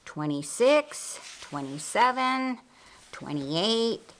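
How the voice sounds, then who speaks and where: A woman's voice: medium pitch, big pitch swings, very slow, a bit bright, heavily acted, low-quality recording. A woman voicing a practical, wry older sister in an audiobook Bible story, matter-of-fact and a little grumbling. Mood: puzzled.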